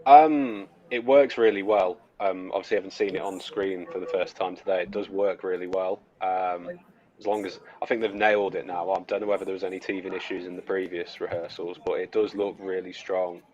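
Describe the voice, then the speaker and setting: A man co-hosting, explaining things in a relaxed, hesitant way with many fillers. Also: low voice, fairly quick, muffled recording. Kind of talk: casual chat.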